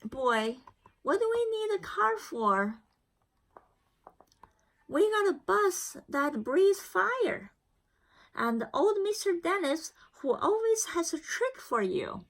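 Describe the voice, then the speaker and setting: A woman voicing a spirited, wise old grandmother in a folksy, emphatic, gently scolding manner. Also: very high voice, big pitch swings, slow pace, pauses often, pitched above the performer's own voice, exaggerated voice. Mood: playful scolding.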